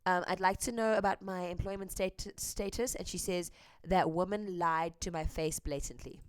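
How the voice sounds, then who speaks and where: A woman's voice: on the low side, fairly even intonation, normal speed, clear, resonant tone, dry recording. A woman host speaking earnestly and candidly, translating a clip with frequent hesitant ums. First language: English. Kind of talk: earnest commentary and live translation.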